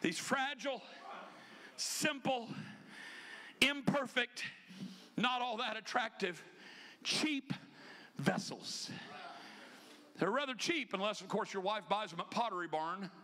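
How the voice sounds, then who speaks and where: High-pitched shouting preacher in his fifties. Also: lively intonation, slight background noise. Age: 50s. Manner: emphatic, often shouting, with quick asides. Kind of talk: energetic Pentecostal sermon. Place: church sanctuary, indoors. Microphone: handheld microphone.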